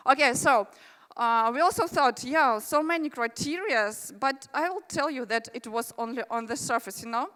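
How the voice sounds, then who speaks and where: A woman with a Russian accent talks to a conference audience in a chatty, friendly way, adding asides as she goes. Her voice is a little high and bright. A large hall adds a long reverb behind her. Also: lively intonation, normal speed. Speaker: conference presenter. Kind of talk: conference presentation.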